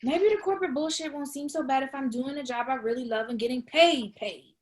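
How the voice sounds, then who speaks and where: Woman acting dramatic young woman, very high voice, anxious, sarcastic, slangy, comedy script read. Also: fairly quick, natural voice.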